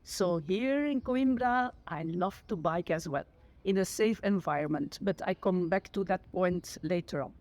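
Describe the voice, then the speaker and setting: A woman's voice: on the low side, a little slow, a little mellow. Flemish woman in her sixties, a retired teacher and Dutch native; earnest, sincere, self-correcting as she goes. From Flanders, Belgium. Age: 60s. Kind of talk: personal testimony to camera.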